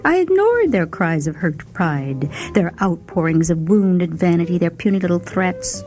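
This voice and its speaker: Woman narrating a short story, theatrical n expressive, music playing underneath. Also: on the low side, measured pace. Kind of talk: dramatic first-person short story narration.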